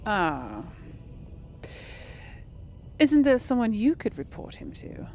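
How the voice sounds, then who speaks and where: A woman's voice: a little high, wildly swooping pitch, slow pace, a little mellow, slight background noise. A woman doing casual, musing game commentary, thinking aloud as she plays and role-plays. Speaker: gamer host of a role-play let's play.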